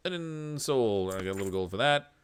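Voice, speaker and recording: A man with a lowish voice streams a game live and talks through it. His speech swings widely in pitch, and he stays chatty, laughing often as he answers viewers between tasks. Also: lively intonation, fairly quick, clean tone. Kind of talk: casual live gameplay commentary.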